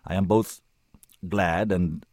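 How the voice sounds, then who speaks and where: Man acting a weighty, very deep old senator. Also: steady tone, darkish tone, no room sound, studio quality. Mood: grave, resolute. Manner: slow, deliberate, thundering when roused. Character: old male senator, weary, commanding, gravely kind.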